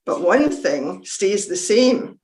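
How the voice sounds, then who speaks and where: Slow reflective woman in a roomy space. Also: medium pitch. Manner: calm, reflective reading. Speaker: devotional reader. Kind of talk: scripted church reflection reading. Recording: roomy echo.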